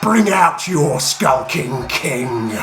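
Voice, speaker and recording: A man voicing a band of brutish male orc warriors who shout and mock. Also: medium pitch, animated, drawn-out words, reverberant, soft background music. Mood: jeering. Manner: loud mocking shouts.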